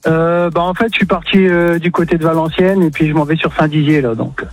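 A man from northern France phones in to a radio show from his truck. His voice sits fairly high and dry, in casual chat, with faint music behind. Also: fairly even intonation, fairly quick, no echo. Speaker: truck driver calling in. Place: parked truck. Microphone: phone call.

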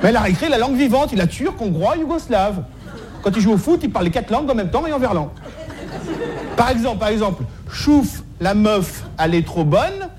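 A man's voice: very high-pitched, animated, quick pace, darkish tone, some chatter behind. A man doing a stand-up comedy monologue in slangy, streetwise, mock-naive style, repeating phrases as he goes, on a live stage recording.

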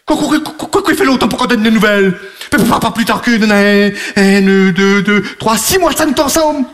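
A man's voice: sits high, normal speed. A man playing a boastful, party-loving husband who juggles his wife and mistress in a radio comedy sketch, talking in broken French, rambling and laughing. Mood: flustered, chatty. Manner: sing-song chanting and sudden shouting.

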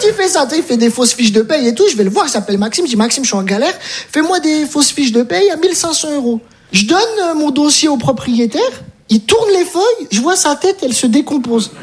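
A woman performing a stand-up routine, telling a story and acting out the dialogue with energy. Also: high-pitched. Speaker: stand-up comedian. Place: comedy stage. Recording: noisy live recording.

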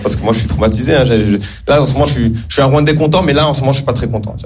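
A man's voice: mid-range voice, racing, music in the background. A man doing a stand-up set, self-mocking, with frequent hesitations. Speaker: stand-up comedian.

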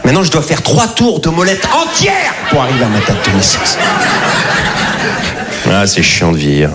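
A man's voice: mid-range voice, big pitch swings, moderate pace, over loud music. A man in his forties doing stand-up, self-mocking and chatty, on stage at a live show. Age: 40s. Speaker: stand-up comedian. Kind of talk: stand-up routine. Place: comedy stage before audience. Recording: live show with loud crowd.

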